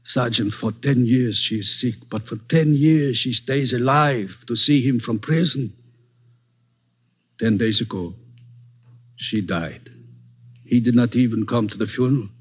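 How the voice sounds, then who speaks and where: An actor playing a grieving, bitter older father who speaks in halting broken English, on an old radio drama recording. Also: medium pitch, breathy edge. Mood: grim. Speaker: witness. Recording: dull old radio broadcast.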